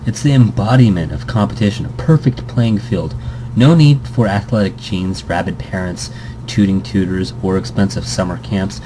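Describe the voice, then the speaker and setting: Guy reading a visual novel out loud for his let's play, lowish voice, keeps tripping on words, ac humming loud. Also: normal speed. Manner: stumbles and restarts words, chuckling asides. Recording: air conditioning hum behind.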